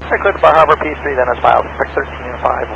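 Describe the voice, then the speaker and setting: A man's voice: mid-range voice, fast talker. A man speaking as a pilot, making clipped calls and readbacks over an aviation radio. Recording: dull aviation radio, clear engine noise.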